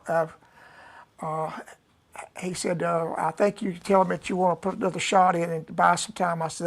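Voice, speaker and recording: Old guy in his 70s, gravelly voice, folksy slow rambling chat on a live stream. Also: sits high. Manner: folksy, unhurried, many "uh" pauses. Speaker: musician hosting a live stream.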